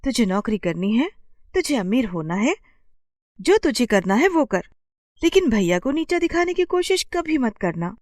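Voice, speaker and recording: Woman voicing a high, earnest, devoted young sister. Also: big pitch swings, normal speed, a little mellow, no room sound, studio quality. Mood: scolding. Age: young adult. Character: devoted, caring young sister, firm and protective.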